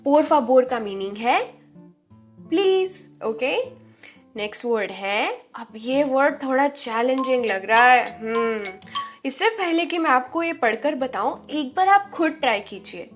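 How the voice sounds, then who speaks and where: A woman from India teaching Spanish in an online lesson. She repeats each word slowly and draws out the sounds. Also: sits high, expressive, soft background music. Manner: slow, drawn-out pronunciation drills, repeating words.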